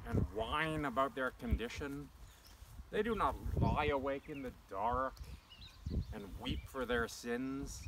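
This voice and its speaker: Slow, expressive man reciting poetry outdoors. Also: fairly high pitch, creaky. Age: middle-aged. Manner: measured, declamatory, pausing between short phrases. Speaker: poetry reader. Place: open grassy farm paddock outdoors. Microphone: camera a few metres away. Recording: distant mic, loud outdoor wind and noise.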